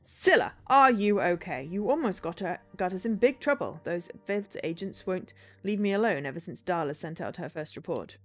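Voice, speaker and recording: A woman's voice: lowish voice, expressive, moderate pace, few pauses. Woman putting on an emphatic, patronizing corporate boss. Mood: agitated. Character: domineering male corporate boss, loudly worried about trouble. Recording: music behind the voice.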